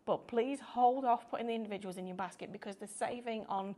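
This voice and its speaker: A woman presenting a live craft shopping show, chatty and upbeat, explaining things step by step, her voice a little croaky. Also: a little high, brisk, mellow. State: slightly croaky voice.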